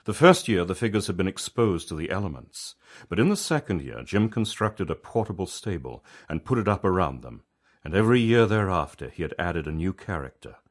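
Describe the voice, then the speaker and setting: Male author-narrator, low voice, reflective conversational storytelling, measured delivery. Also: very animated. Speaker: author reading own story.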